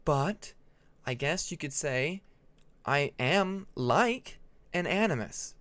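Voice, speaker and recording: A man voicing a calm, blunt female dragon who explains things slowly and deliberately in a fantasy audiobook. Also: a little high, dry recording. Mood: calm, grave. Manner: deliberate, explanatory, drawn-out delivery.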